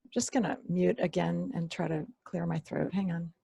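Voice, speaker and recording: A woman presenting an online workshop, hoarse and losing her voice, often clearing her throat and apologizing. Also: fairly low pitch, fairly even intonation, moderate pace, warm tone, no echo. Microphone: computer mic on a video call.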